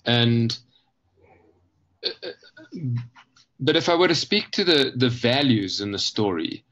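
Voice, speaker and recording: A man explaining his ideas conversationally as an interview guest, thoughtful and halting, often pausing mid-phrase to rephrase. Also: medium pitch, big pitch swings, a bit bright. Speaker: guest, children's book author.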